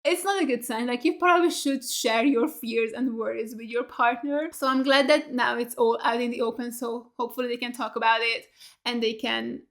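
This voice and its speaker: A chatty young woman in her twenties talks through a show recap from a small home studio, her voice sitting a little high. She fills her talk with "like" and "right?", and her throat sounds a bit tired. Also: fairly even intonation, normal speed, a bit bright. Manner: chatty, lots of fillers and rhetorical questions, gestures with hands. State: voice getting tired. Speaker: reaction video host. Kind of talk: casual recap chat.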